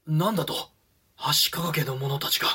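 An actor playing a shrewd, commanding samurai regent in a Japanese period audio drama, with authoritative, weighty archaic speech. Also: male voice, fairly high pitch, moderate pace, noisy background, lightly acted. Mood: stern, startled.

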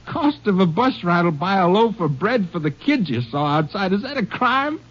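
A man's voice: high-pitched, sing-song, normal speed. An actor playing a desperate, impoverished father who stammers and pleads his innocence in an old-time radio drama. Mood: bitter, defiant. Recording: muffled vintage radio recording.

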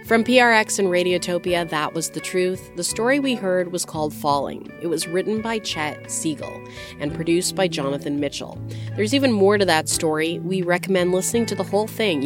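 Female podcast host, lower register, scripted links with some chat, music underneath. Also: normal speed. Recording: music bed behind voice.

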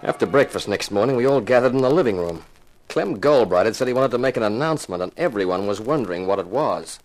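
Low-voiced hard-boiled investigator played by a male actor. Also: lively intonation, fast talker, darkish tone, faint music behind, lightly acted, rough recording. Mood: tense, narrating. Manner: wry, matter-of-fact. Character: hard-boiled, wry male insurance investigator, cool-headed. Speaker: narrator and lead. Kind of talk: 1950s radio detective acting and narration.

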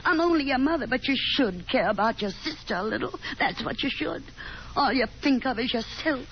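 A woman's voice: high voice, lively intonation, moderate pace. An actress playing a proud, nagging middle-aged mother, fussy and dramatic, in 1950s radio drama style. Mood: indignant scolding. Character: proud, nagging, easily wounded Southern mother. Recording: dull, noisy 1950s radio recording.

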